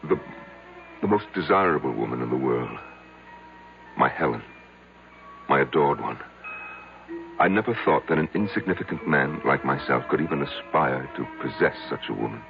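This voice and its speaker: An actor playing a meek, lovestruck, haunted patient in an old-time radio drama, halting, intense and self-deprecating, with a gravelly voice. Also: male voice, rumbling low, expressive, full character voice. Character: short, insignificant, lovestruck, haunted male psychiatric patient. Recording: muffled old radio recording. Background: music.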